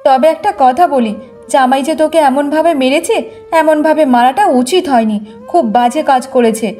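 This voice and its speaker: Woman playing concerned older mother, high voice. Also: normal speed, warm tone, soft background music, natural voice. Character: concerned village mother, older, conciliatory, advising. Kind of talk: Bengali moral-story cartoon dubbing.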